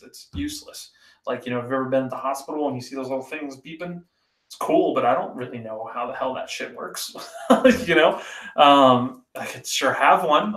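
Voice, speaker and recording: Casual male podcast host, mid pitch, rambling and loose, slightly raspy, echoey room. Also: fairly bright tone. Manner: rambling and conversational, many fillers. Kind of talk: casual solo commentary.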